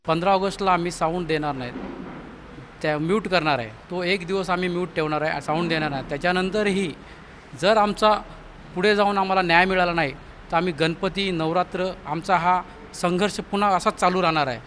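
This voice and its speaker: A man's voice: sits high, brisk, a bit bright. A man making a statement in an interview as a spokesman. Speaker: association spokesman being interviewed. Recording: faint outdoor city noise.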